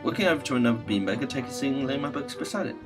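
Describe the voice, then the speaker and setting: A man hosting a gaming video, reading visual novel text aloud with casual asides and halting stumbles over the words. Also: mid-range voice, expressive. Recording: music playing behind the voice.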